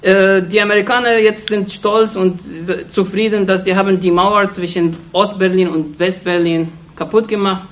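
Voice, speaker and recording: High-voiced man speaking urgently. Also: fairly even intonation, a bit bright. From Iraq. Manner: halting non-native German, frequent restarts and fillers; earnest and accusatory. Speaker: speaker addressing an audience. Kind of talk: impassioned political speech.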